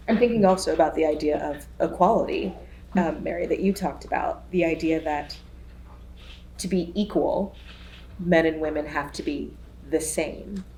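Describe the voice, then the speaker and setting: A woman's voice: fairly low pitch, warm tone. A woman panelist thinking aloud in a chatty, musing way, with frequent restarts. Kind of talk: casual panel discussion. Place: lecture hall. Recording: echoey hall with faint noise.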